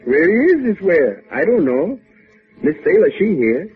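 An actor playing an easygoing island sidekick with rhythmic, singsong speech in a 1950s radio adventure. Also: male voice, fairly high pitch, very animated, measured pace. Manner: rhythmic, singsong, non-standard grammar. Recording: tinny band-limited 1950s radio recording with music.

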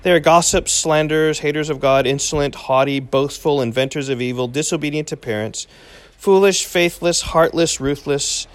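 A man's voice: a little high, moderate pace, no echo. A man leading a Bible reading with guests, reading passages aloud and adding short explanations. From English-speaking visitor to Nepal. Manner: steady reading, explains as he goes. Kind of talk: casual Bible reading with commentary.

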